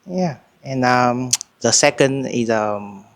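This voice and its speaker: Halting man with a Vietnamese accent. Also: mid-range voice, expressive. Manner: halting, simple English. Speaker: international student interviewee.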